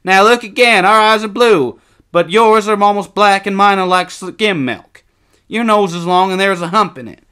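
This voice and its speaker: A man voicing excitable, bickering young twin boys in storybook dialogue, taunting and exclaiming. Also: high voice, normal speed, clear, resonant tone, pitched above the performer's own voice. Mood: teasing.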